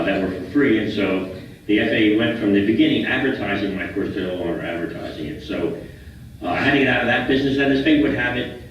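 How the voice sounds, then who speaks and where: An American man in his seventies giving an informal lecture as a guest speaker, in a halting way with repeated and restarted phrases. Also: lowish voice. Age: 70s. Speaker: guest speaker, retired air traffic controller. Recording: roomy, distant, dull, faintly noisy hall recording.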